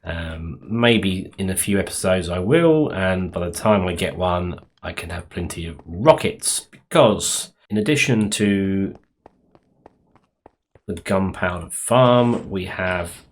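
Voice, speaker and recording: A man a little croaky from being sick, rambling casually through commentary on his game build with many ums and restarts. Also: deep voice, takes it slowly. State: slightly croaky from illness. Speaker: Minecraft let's play host.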